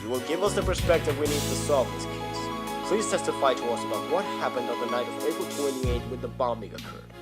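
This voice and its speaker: Stern male prosecutor over loud music, acted by a man. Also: mid-range voice, expressive, fairly quick, natural voice. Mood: stern, assured. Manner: formal, declarative courtroom delivery. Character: stern, confident male prosecutor, formal and forceful. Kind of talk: scripted fan audio drama acting. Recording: loud music bed under voice.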